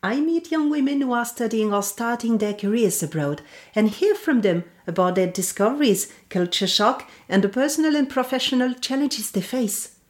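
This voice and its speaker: A woman hosting a podcast, reading a scripted introduction and then interviewing a guest in a measured, deliberate way. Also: fairly high pitch, expressive, darkish tone. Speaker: podcast host.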